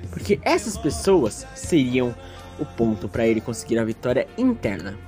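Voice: mid-range voice, very animated, normal speed, darkish tone, soft background music. A narrator telling a scripted story in a theatrical, self-mocking way with playful asides.

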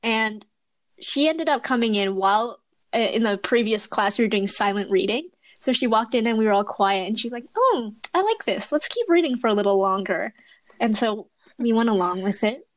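A young woman telling animated stories in casual chat, laughing often. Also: on the high side, normal speed, no echo.

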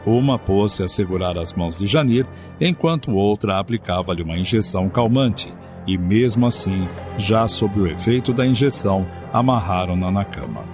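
A man's voice: fairly low pitch, lively intonation, moderate pace, hardly any pauses. A man narrating a scripted radio drama. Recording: dull audio. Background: music.

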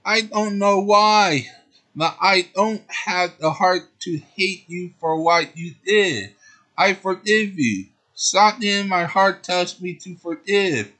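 A young storybook heroine girl, frightened and close to tears, as a man puts her on. She speaks high and slowly, pleading and trembling. The tone is bright and forward. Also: full character voice. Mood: scared, tearful.

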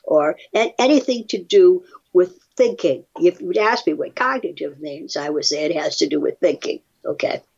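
A woman's voice: on the low side, expressive, normal speed, gravelly, a little mellow, slightly rough. An older woman reading aloud and explaining in plain, wry, chatty asides, on a slightly dull, muffled recording. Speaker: guest reading and commenting on research studies.

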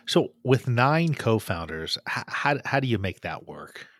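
A man's voice: mid-range voice, sing-song, a little slow, a bit bright, no echo, studio quality. A man hosting a casual interview, asking informal, wordy questions that restart and circle around. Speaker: interview host.

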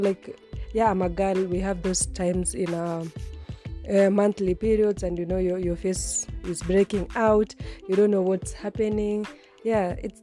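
A woman's voice: medium pitch, mellow. A woman in her twenties hosting a solo lifestyle podcast, chatting casually with frequent restarts and repeated words. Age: 20s. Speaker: podcast host.

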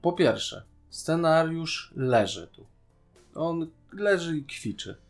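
A man's voice: fairly high pitch, big pitch swings, takes it slowly, soft background music. A man co-hosting a podcast, casually critiquing a series in an opinionated, sarcastic way. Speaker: podcast co-host reviewing a TV series.

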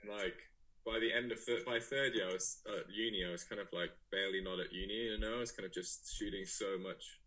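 A young man with a fairly low, husky voice that still has a bright, forward edge. He talks loosely and casually, full of fillers and restarts, as an interview guest telling his story. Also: fairly quick, low-quality recording. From England, raised in South Africa. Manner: relaxed, rambling, many fillers and restarts. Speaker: guest, photographer and director.

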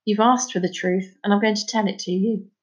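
A woman's voice: medium pitch, fairly even intonation, fairly quick, close to an everyday voice. A woman voicing a stern, troubled father in a storybook, with blunt, scolding, folksy speech. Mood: resigned, confiding. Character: stern, anguished Scottish father, gruff and scolding.

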